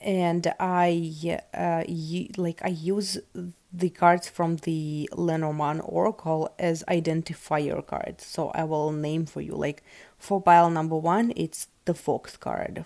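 Low gravelly woman. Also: little pitch movement, slow, a little mellow, no echo. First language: Russian. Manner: chatty, rambling, many fillers like "like" and "um". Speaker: podcast host. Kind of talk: casual solo podcast talk.

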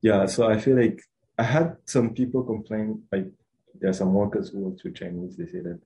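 A man's voice: on the low side, lively intonation, moderate pace, vocal fry, warm tone, slight background noise, low-quality recording. A young man from Rwanda, a student answering interview questions in a hesitant, casual way with many fillers and restarts. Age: young adult.

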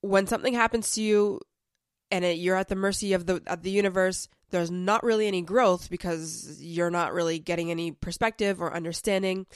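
A young woman with a lowish, smooth voice hosts a self-help podcast. She's a bit under the weather, so her voice sounds slightly off, but she keeps talking in a loose, chatty way full of filler words. She's close on the mic in a dry room. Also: no echo. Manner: chatty and conversational, with frequent "like" fillers. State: slightly sick, voice a little off. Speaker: podcast host.

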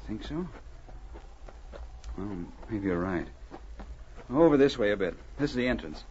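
An actor playing an earnest, folksy, stubborn gold miner in 1940s radio style. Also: male voice, lowish voice, expressive, a little slow, darkish tone. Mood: troubled. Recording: dull, hissy old radio recording.

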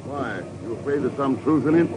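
An actor playing a cool, wry secret agent in a 1950s radio drama, dry, understated and probing. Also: male voice, medium pitch, brisk, lightly acted. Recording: muffled, noisy vintage radio recording.

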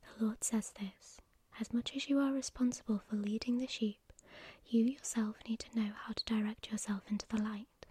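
A woman voicing a calm, commanding male angel messenger in a breathy whisper, with a measured, preaching delivery. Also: a little high. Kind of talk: whispered storytelling.

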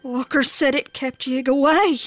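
A woman playing a terrified frontier wife with a rural American accent in a radio drama, halting and folksy as dread tips her toward madness. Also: high voice, fairly even intonation, dry recording. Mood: anxious, hushed. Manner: slow, halting, folksy speech. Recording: music behind.

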